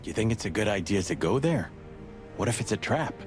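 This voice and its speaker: A man's voice: fairly low pitch, very animated, fairly quick. A man playing a sardonic, streetwise rooster cop sidekick in a noir game, needling but loyal. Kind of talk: film noir game voice acting. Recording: music behind the voice.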